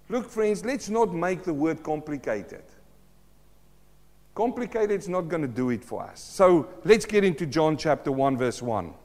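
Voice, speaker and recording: A middle-aged man preaches earnestly in a church hall. His is Afrikaans, and his voice sits a little high for a man. The hall's long reverb trails after his words. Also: a little mellow. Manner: earnest, addresses listeners as friends, asks rhetorical questions. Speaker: pastor. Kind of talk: sermon.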